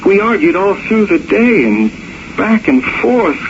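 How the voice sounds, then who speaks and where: An actor playing a haunted, lovelorn man in a 1970s radio drama, halting and earnest, on a muffled vintage recording. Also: high voice, sing-song, noisy background, heavily acted. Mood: distraught. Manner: halting, earnest, troubled delivery.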